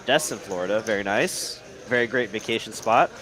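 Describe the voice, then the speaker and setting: Upbeat young stream host. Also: male voice, fairly low pitch, a little fast, crowd noise, poor audio. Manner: upbeat, thanks viewers, reads chat aloud. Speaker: livestream host and poker commentator. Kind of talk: live poker stream commentary.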